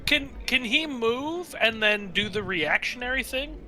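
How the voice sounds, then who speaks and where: Very bright-voiced, hesitant man gaming. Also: very high voice, lively intonation, measured pace, faint music behind. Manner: hesitant, rambling. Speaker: tabletop game player. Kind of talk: casual game-table chat.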